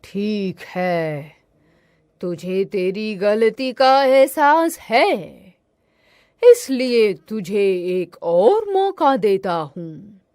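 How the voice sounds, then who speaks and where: A woman voicing a stern, menacing male god of death with slow, solemn pronouncements in a cartoon moral tale. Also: mid-range voice, expressive, darkish tone, smooth, no echo, clean studio sound, voice pushed down. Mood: solemn, granting.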